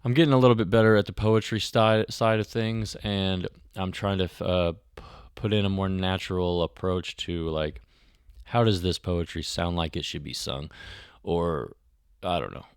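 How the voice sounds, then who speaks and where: A man's voice: sits low, fairly even intonation, normal speed, smooth, dry recording, studio quality. A man from Missouri hosting a podcast, rambling and self-deprecating as he opens the episode with a casual chat. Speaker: podcast host. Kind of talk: casual solo intro to a podcast.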